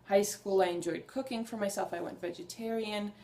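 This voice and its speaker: A woman's voice: mid-range voice, fairly even intonation, fairly quick, warm tone, slight background noise. A young woman talking to camera in a casual personal vlog, earnest and enthusiastic, rambling a little and restarting her sentences. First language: Hungarian. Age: young adult. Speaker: vlogger.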